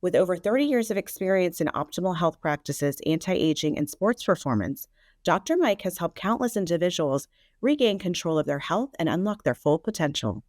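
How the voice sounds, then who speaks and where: A woman's voice: lowish voice, expressive, moderate pace, darkish tone, no room sound, clean studio sound. A woman hosting a podcast, introducing her guest enthusiastically and asking interview questions. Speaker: podcast host.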